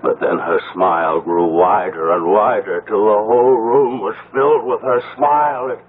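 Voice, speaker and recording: A man's voice: lowish voice, steady tone, moderate pace, lightly acted. Man acting drunk, anguished brother, tinny radio. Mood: haunted, brooding. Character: drunk, anguished, superstitious brother raving about vampires. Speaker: radio drama actor. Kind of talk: 1970s radio drama acting. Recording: muffled narrowband noisy old radio recording.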